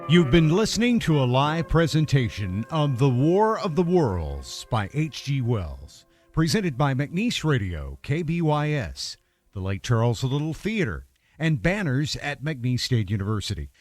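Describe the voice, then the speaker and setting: A man's voice: medium pitch, big pitch swings, a little slow, a little mellow. A man reading scripted closing credits as a radio announcer. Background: faint music.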